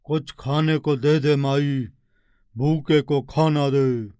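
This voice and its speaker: Slow-speaking kindly old beggar played by a man. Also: a little high, steady tone, warm tone, clean tone, dry recording, clean studio sound. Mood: pleading. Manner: slow, deliberate, exhorting. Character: kindly old wandering holy beggar man, encouraging, humble. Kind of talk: animated moral story dialogue in Hindi.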